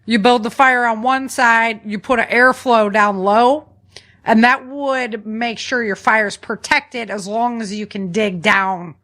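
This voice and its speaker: A woman's voice: fairly high pitch, steady tone, measured pace, fairly bright tone. Woman podcast host giving informal survival advice. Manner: informal, instructional, often seeks agreement with "right?". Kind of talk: conversational survival advice from notes.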